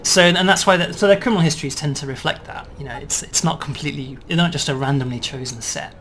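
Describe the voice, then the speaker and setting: A man demonstrating and explaining a game build in a relaxed way, checking in with "right?" as he goes. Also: on the high side, fast. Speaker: game developer demoing.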